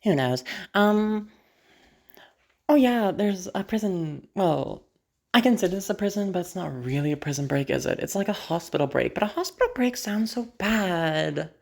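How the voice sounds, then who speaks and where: A woman playing a deranged, possessive asylum patient in a roleplay audio drama, teasing and halting as she talks, with casual swearing. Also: medium pitch, lively intonation, vocal fry, darkish tone. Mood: playfully unhinged. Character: deranged female asylum patient, possessive, flirty, playfully menacing.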